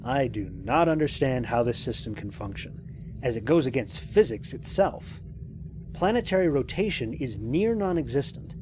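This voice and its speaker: A man playing a lone spaceship commander and scientist in an audio drama, recording mission logs in a measured, reflective, precise way. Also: mid-range voice, animated, noisy background, close to an everyday voice. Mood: puzzled, clinical. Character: lone male spaceship commander, thoughtful scientist, quietly amazed.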